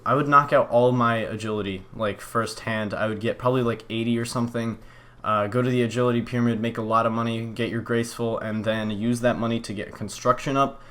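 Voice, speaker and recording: Relaxed young man rambling through viewer questions. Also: medium pitch. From Poland. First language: Polish. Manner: relaxed, rambling, many fillers and restarts. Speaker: channel host answering viewer questions. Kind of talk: casual Q&A vlog.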